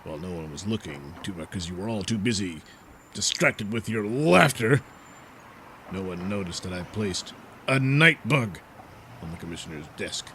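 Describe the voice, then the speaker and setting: A man playing a gravelly, bungling, self-serious costumed vigilante. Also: deep voice, lively intonation, sharp tone, a little hiss or hum, heavily acted. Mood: smug. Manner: halting, self-important. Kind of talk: comedic superhero audio serial.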